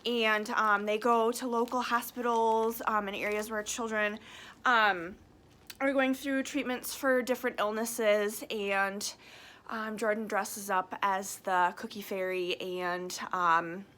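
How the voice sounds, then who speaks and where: A woman speaking casually and reading a picture book aloud to children in an expressive, friendly way. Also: medium pitch, slow. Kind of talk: casual chat and children's storybook read-aloud.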